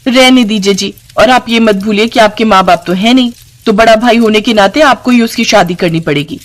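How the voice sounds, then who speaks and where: A woman's voice: fairly high pitch, fairly quick, close to an everyday voice. A woman voicing a greedy, nagging, scheming village wife who can turn wheedling, in a narrated story. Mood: irritated. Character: sharp-tongued, greedy, scheming village wife and sister-in-law. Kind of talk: acted dialogue in a narrated story.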